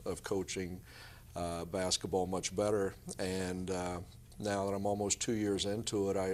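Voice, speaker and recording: A middle-aged man with a lowish voice speaks as a coach being interviewed. He gives explanatory, conversational answers in the manner of a guest on a sports show.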